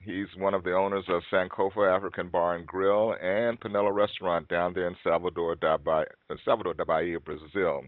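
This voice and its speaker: A man hosting an interview, introducing his guest and explaining things for listeners in a friendly, deliberate way. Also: deep voice, flat delivery, no room sound. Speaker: host. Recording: dry, tinny phone-like sound.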